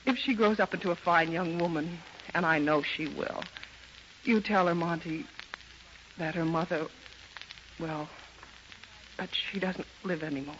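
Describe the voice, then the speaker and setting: A woman playing a convicted, loving and grief-stricken young mother in an earnest, halting 1930s radio drama style. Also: on the low side, highs cut off. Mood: tearful farewell. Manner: earnest, melodramatic, halting with emotion. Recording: bright, noisy, band-limited 1930s radio recording.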